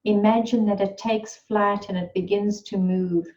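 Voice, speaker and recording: Woman, meditation guide, slow and soothing, lowish voice, dull lo-fi audio. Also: steady tone, a little mellow. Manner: slow, soothing and unhurried, with long pauses.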